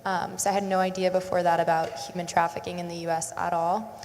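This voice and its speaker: A woman's voice: lowish voice, level tone, quick pace, a little mellow. Young woman panelist in a large hall. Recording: long reverb of a large hall.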